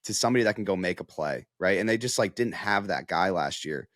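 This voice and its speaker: Low-voiced man giving casual sports analysis. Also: animated, fast talker, a bit bright, no echo, studio quality. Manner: conversational, explanatory.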